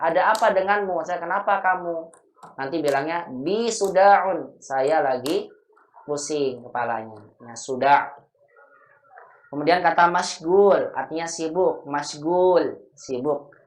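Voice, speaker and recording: Male teacher, patient explainer, drawn-out vocabulary drills, roomy echo. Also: fairly high pitch. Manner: patient, spells out words slowly. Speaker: Arabic teacher. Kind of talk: recorded Arabic grammar lesson.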